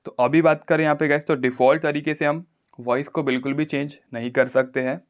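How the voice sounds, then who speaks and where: A man giving a casual, explanatory phone tutorial, often pausing to check that viewers follow. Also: on the high side, fairly even intonation. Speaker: tech tutorial YouTuber.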